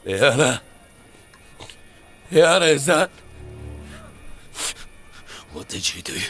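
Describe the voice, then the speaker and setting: An actor playing a horrified, grief-stricken monk in a dark fantasy audio drama, speaking in a halting, disbelieving way. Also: male voice, mid-range voice, big pitch swings, exaggerated voice. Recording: loud music over the voice.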